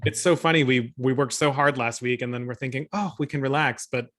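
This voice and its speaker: A man's voice: medium pitch, fairly quick, a bit bright. A man in his thirties hosting an online interview, chatty and upbeat, speaking over a video call from a room at home. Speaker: host.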